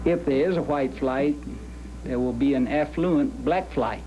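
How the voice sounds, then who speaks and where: A man's voice: mid-range voice, measured pace, warm tone, soft background music. A man giving an unscripted television news interview as a local candidate. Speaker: doctor and candidate being interviewed. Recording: dull audio, faint hum.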